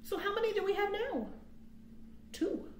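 High-voiced American woman reading to young children. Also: a little hiss or hum. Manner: sing-song, drawn-out phrasing, asking questions to young children. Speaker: children's librarian, storytime host. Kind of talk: storytime read-aloud and counting rhymes for children. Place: small quiet room indoors. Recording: roomy webcam sound.

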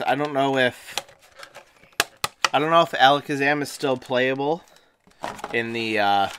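A man hosting a card-opening video, chatting casually and a little hoarse from a cold, often restarting phrases and laughing as he talks. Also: medium pitch, a bit bright, slight background noise. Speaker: card-opening channel host. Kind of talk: casual unboxing chat. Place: indoors at a table, hands on camera.

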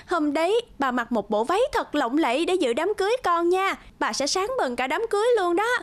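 An actress playing a sweet, earnest young bride-to-be in a cartoon, youthful and expressive. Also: female voice, very high voice, normal speed. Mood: cheerful, affectionate. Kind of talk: cartoon voice acting. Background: music.

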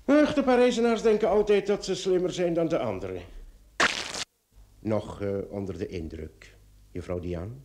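Male actor, police commissioner, wry and self-assured, theatrical, lively intonation, old radio drama. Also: a little high, moderate pace, heavy background noise, lightly acted. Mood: brisk, wry.

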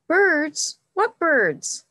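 A woman's voice: high-pitched, very animated, extremely slow, pushed and bright, no echo, studio quality, pitched above the performer's own voice, exaggerated voice. Woman voicing an eager little boy.

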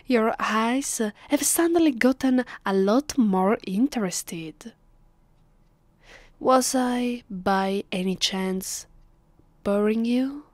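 A woman with an Italian accent playing a haughty, teasing ancient vampire mistress in a soft, half-whispered ASMR roleplay, condescending and commanding. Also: medium pitch, no echo. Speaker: voice actress. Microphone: close, dry microphone.

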